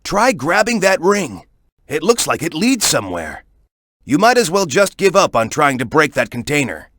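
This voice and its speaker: A cocky young guy from a video game, with a fairly high voice that swings freely up and down. He hands out tips and encouragement in a casual, peppy manner, like a sidekick guiding the player. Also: big pitch swings, moderate pace, a bit bright, dry recording, studio quality. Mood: helpful, instructive.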